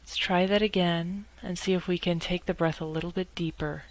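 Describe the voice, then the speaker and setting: A woman guiding a meditation in a measured, instructional way, speaking in short phrases with pauses between them. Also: on the low side, fairly even intonation, poor audio. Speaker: guided meditation leader. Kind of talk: guided meditation narration.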